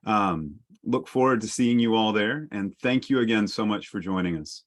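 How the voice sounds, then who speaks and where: Guy with a lowish voice hosting a video call panel, thanking guests. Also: animated, normal speed. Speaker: moderator.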